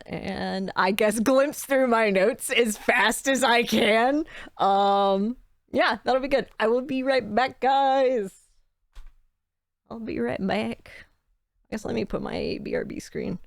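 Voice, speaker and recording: A woman's voice: mid-range voice, creaky, no room sound, clean studio sound. A woman game master chatting on a livestream in a bubbly, scattered way. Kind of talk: casual livestream chat.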